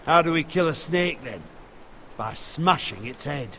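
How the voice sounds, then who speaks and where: An actor playing a blunt, scornful soldier who serves a lord in a video game drama. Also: male voice, a little high, animated, a little slow, bright, forceful tone. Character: blunt, hard-bitten male soldier serving a lord. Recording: faint background noise.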